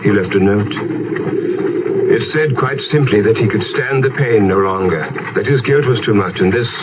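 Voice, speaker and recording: A man acting a grave, deferential village vicar in a gothic radio drama, speaking in a solemn, formal, measured way. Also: on the low side, natural voice. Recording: muffled, tinny, heavy noise behind.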